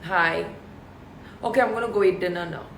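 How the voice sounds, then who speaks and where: A woman in her thirties with a deep voice, chatting casually with fans on a live stream and reading out their questions. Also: fairly quick, roomy sound. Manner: chatty, laughs often, reads out questions.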